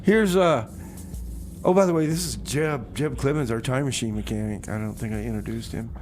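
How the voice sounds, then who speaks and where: A man's voice: medium pitch, expressive, moderate pace, a little mellow, a little hiss or hum. A man hosting a community radio music show, loose and chatty, repeating himself as he introduces songs and banters. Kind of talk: casual music show presenting with comedy banter.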